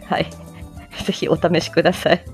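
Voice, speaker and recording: A woman hosting a casual morning stream, chatty and quick to giggle as she talks to her listeners. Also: on the low side, flat delivery, faint music behind. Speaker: online audio show host and voice performer. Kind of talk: casual morning livestream chat.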